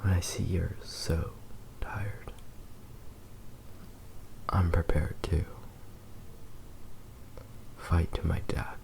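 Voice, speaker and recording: A man playing a possessive, doting kitsune fox boy in a slow, tender, intimate whispered ASMR roleplay. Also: low voice, steady tone, creaky, full character voice, low-quality recording. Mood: protective, gentle.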